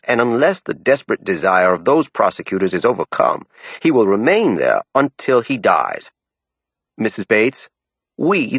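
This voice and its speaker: A man voicing an elderly, insistent woman with a thick Maine accent, delivering slow, speech-like pleas. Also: mid-range voice, a bit bright, no echo, pitched above the performer's own voice. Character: elderly woman, strident crusading aunt.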